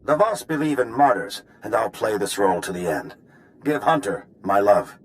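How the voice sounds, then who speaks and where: An actor playing a resigned but resolute operative in a video game, dictating a log in a clipped, report-like way. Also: male voice, lowish voice, very animated, moderate pace, slight background noise. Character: resigned, resolute male operative recording his final message. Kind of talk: dramatic video game acting.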